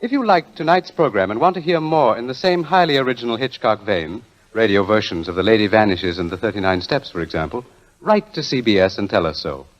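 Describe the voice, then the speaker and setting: A man's voice: fairly low pitch, expressive, a little fast. A man working as a radio announcer, reading a script in formal 1940s style. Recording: muffled vintage radio recording, music behind.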